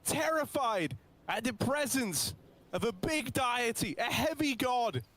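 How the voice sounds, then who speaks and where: A man casting an esports match with play-by-play commentary, drawn-out hype calls and playful wordplay. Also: extremely high pitch, expressive, fairly bright tone. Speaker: esports caster. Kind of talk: play-by-play commentary with casual banter.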